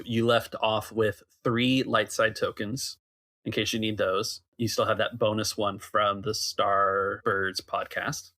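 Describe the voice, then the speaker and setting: A man's voice: lowish voice, pushed and bright. A man casually narrating a tabletop game as game master and asking players what they do.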